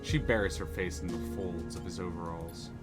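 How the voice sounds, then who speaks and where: A man's voice: low voice, steady tone, normal speed. A man narrating a story game aloud on a live stream, reading expressively. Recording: music playing under the voice.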